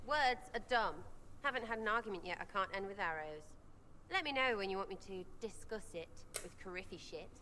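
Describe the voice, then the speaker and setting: Brash, bright-voiced young rogue archer. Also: female voice, mid-range voice, animated, fairly quick, in a large hall, slight background noise. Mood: dismissive. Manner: blunt, slangy, argumentative. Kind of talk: video game character dialogue.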